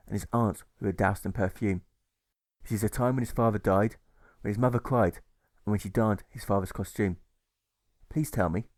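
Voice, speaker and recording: A man's voice: fairly low pitch, a little mellow, dry recording. A man narrating a rhyming audiobook as its author, with a rhythmic delivery that stresses the rhymes. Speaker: author reading his own novel.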